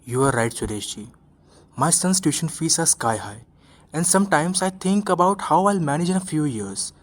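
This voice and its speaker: Man acting a husky, weary working father. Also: on the high side, expressive, normal speed. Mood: weary, worried. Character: weary working father worried about money, friendly. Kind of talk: amateur radio drama acting.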